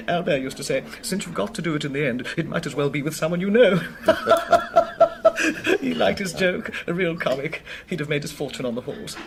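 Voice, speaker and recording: An actor playing a genial, obliging restaurant proprietor, courteous and chatty in a radio drama. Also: male voice, a little high, expressive, moderate pace, vocal fry, background noise. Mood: amused. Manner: effusive, courteous, chuckling. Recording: busy crowd chatter behind.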